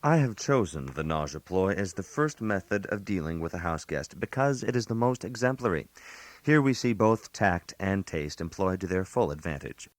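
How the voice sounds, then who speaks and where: A put-upon, fussy host delivers a comic radio monologue in a low, close and dry voice. He is precise and mock-formal, handing out genteel etiquette advice with dry wit. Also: expressive, normal speed, no echo. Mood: mock-instructive. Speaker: narrator. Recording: analog radio aircheck.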